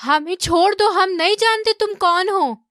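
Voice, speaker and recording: A woman playing an anxious young village wife in an animated comedy story. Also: very high-pitched, unhurried, no room sound, clean studio sound. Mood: frightened, pleading.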